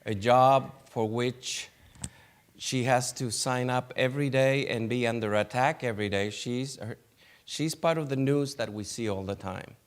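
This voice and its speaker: Halting man moderating a panel. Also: medium pitch, clear, resonant tone. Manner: halting, many restarts and light laughs. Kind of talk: introducing speakers at a conference. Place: conference hall.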